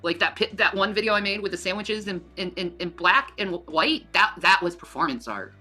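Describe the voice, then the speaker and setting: A woman in her thirties talking casually on a livestream, rambling and swearing, often trailing off into quiet mumbling. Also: medium pitch, lively intonation, fairly quick, husky, soft background music. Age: 30s. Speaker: livestream subject.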